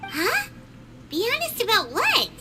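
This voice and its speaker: A woman voicing a tiny, childlike, cheeky fairy companion in a video game, squeaky and sassy. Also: huge pitch swings, measured pace, full character voice. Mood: puzzled. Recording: voice over loud game music.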